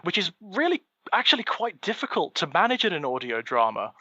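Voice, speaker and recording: A man's voice: high-pitched, big pitch swings, fairly quick, sharp tone, no echo, muffled recording. A man co-hosting a podcast, chatting casually in an articulate, eloquent way. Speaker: podcast co-host.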